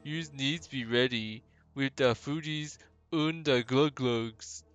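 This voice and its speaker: A man's voice: medium pitch, a bit bright, smooth, muffled recording, faint music behind, pitched above the performer's own voice. A man voicing a simple-minded, earnest ogre in a video game, warning people in childlike broken English. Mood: earnest, instructing. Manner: slow, childlike broken grammar. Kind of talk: hobby game voice acting.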